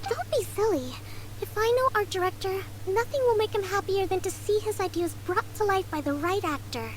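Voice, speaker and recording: A woman voicing a tiny, excitable female fairy companion in a video game, bubbly and chatty. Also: very high-pitched, fairly quick, slight background noise, poor audio. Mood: excited. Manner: bubbly, speaks of herself in third person.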